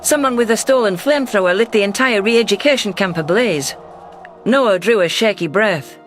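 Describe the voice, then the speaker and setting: A man's voice: high voice, normal speed, fairly bright tone. A man reading a scripted first-person story as its narrator, in an audio drama. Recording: music bed under voice.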